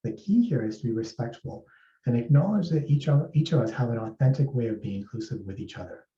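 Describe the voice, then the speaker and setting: A man from East Africa giving a measured, explanatory workplace presentation as an HR speaker. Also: medium pitch, animated, warm tone. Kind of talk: presentation talk. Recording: roomy, distant, dull sound.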